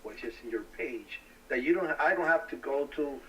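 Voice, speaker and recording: A man's voice: mid-range voice, animated, a little fast, a bit bright, recorded from a distance, slight background noise, low-quality recording. A man with Spanish as his native language, talking casually as a bilingual co-host on a live stream. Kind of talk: casual live-stream discussion, switching between English and Spanish.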